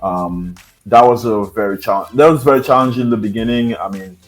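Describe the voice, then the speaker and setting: Low-voiced Haitian man, soft and hesitant. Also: fairly quick, faint music behind, low-quality recording. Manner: soft-spoken, hesitant with many fillers. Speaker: podcast guest. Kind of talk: interview, personal storytelling.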